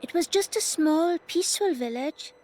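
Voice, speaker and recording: A scrappy young boy thief in a video game answers back with clipped defiance, as a woman voices him. His voice is high, and faint music runs beneath it. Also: expressive, moderate pace, mellow, low-quality recording. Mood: sullen.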